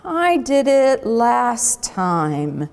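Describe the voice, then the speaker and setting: Storybook sloth pupil played by a woman: whiny, yawning, mumbled, very slow, long reverb. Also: fairly high pitch, big pitch swings, vocal fry, a little mellow, slight background noise, full character voice. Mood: whiny, sleepy. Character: lazy, complaining sleepy young sloth pupils.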